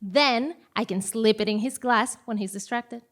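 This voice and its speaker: A woman's voice: on the high side, normal speed, fairly bright tone, close to an everyday voice. A woman playing a bold, self-assured publicist, confidently plotting in a comic stage audio play. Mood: confident, scheming. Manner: confident, scheming. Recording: live theatre stage recording.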